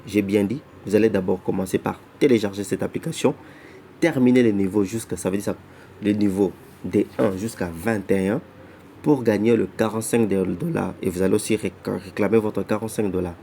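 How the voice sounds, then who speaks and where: A man giving a casual walkthrough of an app, halting and often repeating words and restarting phrases, who says he is not an English speaker. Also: fairly low pitch, creaky, mellow, slight background noise. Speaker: app tutorial presenter. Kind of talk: casual screen-recorded walkthrough.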